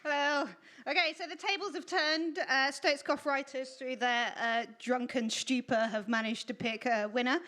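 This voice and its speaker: A woman's voice: sits high, fairly even intonation, moderate pace, few pauses, bright, forceful tone. A woman announcing at a live event, reading out entries informally in a giggly, self-deprecating, jokey way. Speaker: presenter announcing writing contest winners. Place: live storytelling event at a venue.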